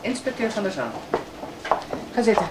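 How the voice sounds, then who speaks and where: A woman's voice: medium pitch, sing-song, moderate pace, heavy background noise, close to an everyday voice. An actress playing a teasing, sardonic female police inspector in a naturalistic radio drama. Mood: probing, sardonic. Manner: sardonic, probing.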